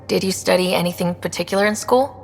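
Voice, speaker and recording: A woman's voice: lowish voice, fast, vocal fry, big-room sound. An actress playing a plainspoken, curious female space explorer in a video game, dry and casual in manner. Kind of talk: video game dialogue acting.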